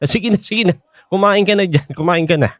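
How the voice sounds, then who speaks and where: A man's voice: sits high, moderate pace, no room sound, lightly acted. An actor playing a flirtatious, teasing man of sixty-five with a Filipino accent in a radio drama. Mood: playful, wooing. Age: 60s. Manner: teasing, cajoling, exaggerated swooping delivery.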